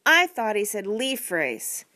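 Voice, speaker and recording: A woman voicing mischievous young children who deliberately mishear their parents. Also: medium pitch, sing-song, bright, forceful tone, voice pushed up, full character voice. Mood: playful.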